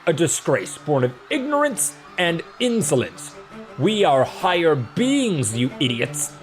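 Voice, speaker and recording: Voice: medium pitch, huge pitch swings, slow pace, soft background music, voice pushed up, rough recording. A narrator voicing a furious, haughty male god of rocks in a fantasy audiobook, bellowing and ranting pompously. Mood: furious, indignant. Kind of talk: dramatic fantasy audiobook character.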